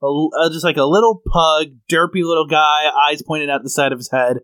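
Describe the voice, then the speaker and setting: A man's voice: on the high side, fairly even intonation, a little fast, a bit bright, dry recording. A man co-hosting a comedy podcast in casual chat, explaining things at length and telling stories. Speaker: podcast co-host.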